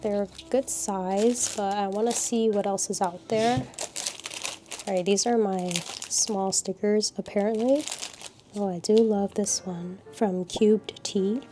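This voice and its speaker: A woman with a slightly scratchy voice from a cold, chatting casually and unhurriedly in a low-energy voice-over about her craft supplies. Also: fairly even intonation, a little slow. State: a little sick, scratchy voice. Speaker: art vlogger.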